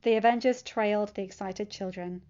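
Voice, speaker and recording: A woman's voice: mid-range voice, steady tone, normal speed, a little mellow, smooth, highs cut off. A woman reading scripted story narration as the narrator of a fan fiction audiobook.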